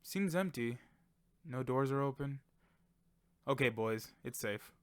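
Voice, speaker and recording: A man's voice: mid-range voice, lively intonation, takes it slowly, clear, resonant tone, no room sound. A man playing an eager, inquisitive young friend in an amateur audio drama. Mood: curious, casual.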